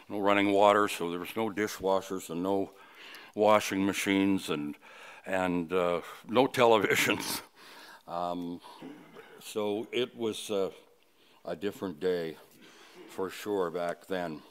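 A man of sixty-nine from Canada delivering a funeral sermon at a lectern, reflective and halting, with personal reminiscence. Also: deep voice, fairly even intonation, clean tone. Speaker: funeral officiant, preacher. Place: indoors at a funeral home, at a wooden lectern. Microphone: lectern microphone.

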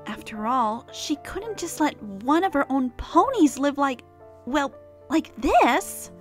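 A woman playing a devout, protective pony mare who captains the guard, speaking with earnest, solemn care in an animated fan drama. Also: sits high, takes it slowly, soft background music, heavily acted. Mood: searching.

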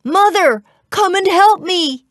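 A woman's voice: very high-pitched, animated, takes it slowly, no echo, clean studio sound, pitched above the performer's own voice, full character voice. A woman voicing a plucky, earnest little boy in a children's storybook. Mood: panicked.

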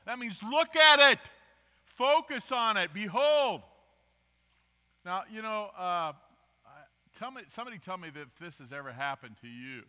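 Older male preacher, bright voice, reverberant church. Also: high-pitched, animated, moderate pace. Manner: rambling, self-deprecating, swings from loud emphasis to quiet asides. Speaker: guest preacher. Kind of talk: storytelling sermon. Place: church sanctuary, large indoor room. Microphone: podium microphone, filmed from the pews.

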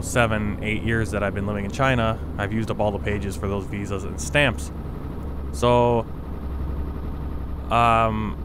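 A man's voice: lowish voice, noisy background. An American man casually talking over his own truck-driving game footage. He rambles and corrects himself as he goes. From United States. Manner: chatty, rambling, self-correcting. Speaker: gaming video host.

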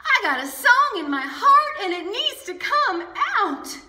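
A woman voicing a spirited little cowgirl who loves singing, belting out country songs loudly. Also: very high-pitched, very animated, slow, pitched above the performer's own voice, exaggerated voice. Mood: exasperated. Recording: roomy echo.

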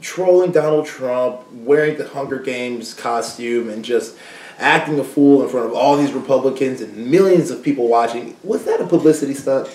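A young man co-hosting a casual chat, animated and slangy, laughing easily. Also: mid-range voice, normal speed. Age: young adult. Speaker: co-host. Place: small room indoors, seated side by side. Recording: roomy echo, mic at a distance.

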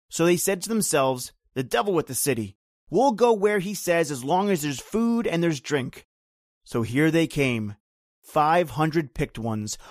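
An actor playing a bitter, grim island native who tells an old horror tale in brooding, rhythmic, folksy phrases, in old-time radio drama style. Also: male voice, a little high, no room sound, studio quality.

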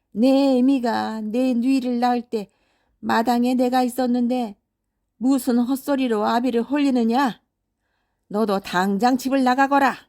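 An irate old father from a folktale audiobook, acted out by a woman, pitched well up and pushed louder. He rants in wailing exclamations, bitterly scolding his grown sons. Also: close to an everyday voice. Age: older. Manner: ranting, lamenting exclamations. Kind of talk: storybook character voice.

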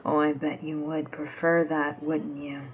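A woman's voice: deep voice, a little hiss or hum. A woman playing a smug, mysterious taunter in an audio drama, half-whispering in a menacing drawl.

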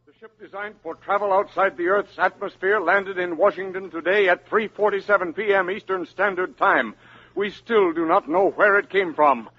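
An actor playing a tense American radio reporter on the scene, clipped and formal, in a 1950s radio drama. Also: male voice, high-pitched, moderate pace. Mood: tense, reporting. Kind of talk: 1950s radio news acting. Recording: muffled 1950s radio broadcast.

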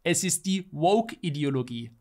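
A man's voice: fairly high pitch, lively intonation, unhurried, dark tone. A man in his thirties co-hosting a podcast, giving opinions in an emphatic, rhetorical way. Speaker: podcast co-host. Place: small studio room, indoors. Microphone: studio microphone on boom arm.

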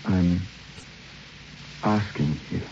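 A man's voice: low voice, extremely slow, darkish tone. An actor playing an intense, insistent, probing man in an old radio drama. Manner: pressing, insistent. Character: intense, probing male guest with morbid curiosity. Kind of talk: old-time radio acting. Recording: dull, noisy old radio recording.

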